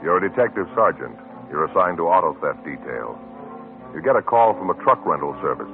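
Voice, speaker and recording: A man's voice: low-pitched, sing-song, a little fast, narrow, tinny audio, over loud music. A man announcing formally on an old radio broadcast with an emphatic, declamatory delivery.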